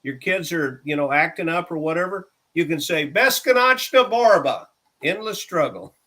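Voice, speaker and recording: A man's voice: on the high side, lively intonation, moderate pace, sharp tone. A man in a casual chat, patiently explaining phrases and spelling words out letter by letter.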